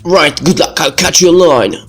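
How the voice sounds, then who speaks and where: Man reading as brisk, bossy young woman gamer. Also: on the high side, fairly bright tone, pitched above the performer's own voice. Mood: upbeat. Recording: music behind the voice.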